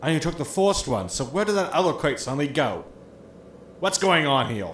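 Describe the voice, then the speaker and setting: A man's voice: a little high, very animated, fairly quick, fairly bright tone. Man acting a sarcastic spaceship crewman, echoey. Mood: impatient, puzzled. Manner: sarcastic, exasperated. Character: grumbling, money-minded, sarcastic spaceship crewman. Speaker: actor in an audio drama. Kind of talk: sitcom audio drama acting. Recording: roomy echo with noisy ambience.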